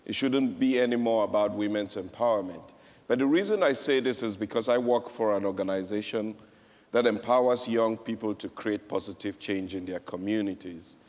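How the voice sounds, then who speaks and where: A man's voice: on the low side, normal speed, darkish tone. A man from Cameroon speaking on a panel, telling a story with emphatic repetition. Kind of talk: panel speech. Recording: echoey hall.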